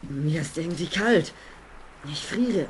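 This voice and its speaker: An actress playing a brave, determined young adventurer, earnest and urging, in a children's audio play. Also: female voice, deep voice, lively intonation, slow. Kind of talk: 1970s German children's audio play acting. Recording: old audio drama, clear background noise.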